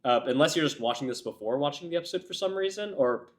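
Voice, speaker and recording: A man hosting an anime reaction video, chatting casually while getting over a cold, his voice raspy and a bit nasal, rambling as a self-described yapper. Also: fairly high pitch, expressive, quick pace. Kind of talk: casual chat to camera.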